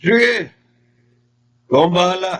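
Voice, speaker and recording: A man acting in a radio drama as a proud, resentful Filipino brother with a husky voice. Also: high voice, a little slow, fairly bright tone. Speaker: drama actor.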